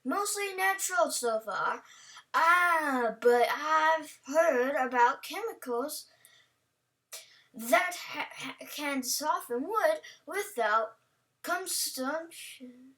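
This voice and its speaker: Female reaction host: high, bright voice, playful casual rambling, recorded a little far from the mic. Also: animated, very slow. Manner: rambling, playful, talks to the on-screen character.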